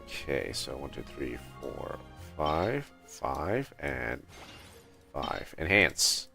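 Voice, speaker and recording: Male game streamer, very low gravelly voice, halting think-aloud delivery, light music underneath. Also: sing-song, pushed and bright. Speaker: game livestreamer. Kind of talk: casual livestream gameplay commentary.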